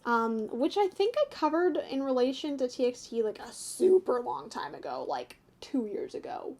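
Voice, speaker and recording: A woman's voice: fairly high pitch, warm tone. A young North American woman chatting casually to viewers in a fan analysis, giggly and tentative as she thinks aloud. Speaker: YouTube host giving fan commentary.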